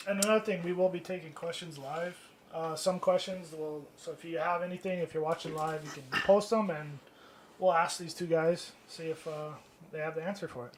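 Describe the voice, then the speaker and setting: A man co-hosting a podcast in casual chat, enthusiastic, slangy and quick to chime in supportively. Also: a little high, fairly even intonation, slight background noise, poor audio. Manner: enthusiastic, surfer-style slang, supportive interjections. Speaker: podcast co-host.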